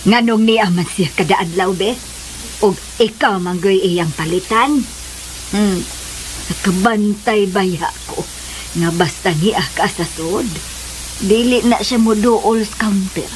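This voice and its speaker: A woman's voice: mid-range voice, takes it slowly, slight background noise, natural voice, poor audio. Woman playing a nosy motherly shop boss. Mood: teasing probing. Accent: Cebuano. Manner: teasing, advising, colloquial. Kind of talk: radio drama acting.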